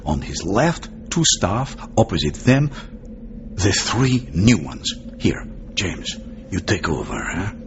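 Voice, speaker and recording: An actor playing a genial, worldly Turkish spymaster in a radio drama, often tagging phrases with a questioning huh. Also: male voice, sits low, big pitch swings, slow pace, full character voice. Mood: worldly, teasing. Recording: dull lo-fi sound with clear noise.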